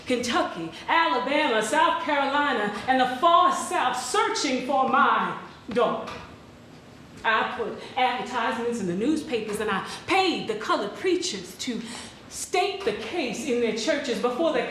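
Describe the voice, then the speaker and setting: In a very echoey hall with faint noise, a woman plays a determined freed mother searching for her child. Her voice is fairly high, and she delivers her testimony deliberately, as a stage reading. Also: a bit bright. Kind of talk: dramatic stage reading of a first-person testimony.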